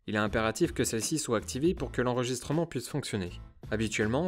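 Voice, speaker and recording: Male tutorial host, medium register, scripted instructional narration with formal phrasing, music bed. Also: medium pitch. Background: background music.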